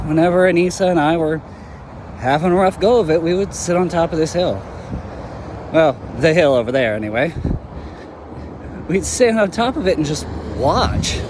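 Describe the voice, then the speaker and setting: Brief: young man as earnest, halting young ex-hero, fairly high voice, audio drama, noisy outdoor take with traffic. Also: lightly acted. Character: young male former hero, earnest and reflective.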